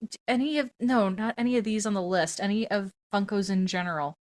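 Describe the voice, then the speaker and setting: A woman's voice: medium pitch, brisk. A woman with a mid-range voice hosts a podcast conversation. She moves between presenting categories and loose chat, informal and ready to swear. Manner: informal, casually profane. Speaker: podcast host.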